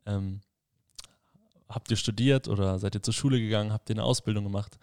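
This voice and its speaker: A young man in his twenties hosts a casual podcast conversation. His voice sits a little low. He asks relaxed, friendly questions and fills pauses with "ähm". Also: no echo, studio quality. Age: 20s. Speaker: podcast host, leader at a mission school.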